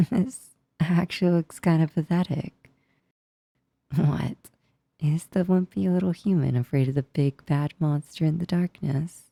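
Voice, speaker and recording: A female sleep paralysis demon, played by a woman for an ASMR roleplay. Her voice is low, husky and mellow, often sinking to a whisper very close to the mic. She speaks slowly, shifting between teasing menace and awkward tenderness. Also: fairly even intonation, no echo, full character voice. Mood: sinister, teasing. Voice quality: soft, breathy, often whispering. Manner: slow, intimate, close-up delivery. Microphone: close, dry microphone.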